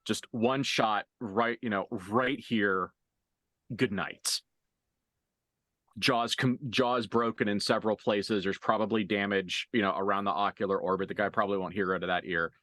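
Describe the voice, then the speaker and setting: Low-voiced man narrating a tabletop game. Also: fairly even intonation, fairly bright tone, no echo, studio quality. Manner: halting, conversational, graphic blow-by-blow description. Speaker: game master.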